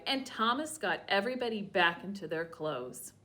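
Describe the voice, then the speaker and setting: A woman reading a children's picture book aloud with lively, expressive character voices. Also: medium pitch, normal speed, very noisy. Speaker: children's story reader. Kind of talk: storybook read-aloud. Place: church.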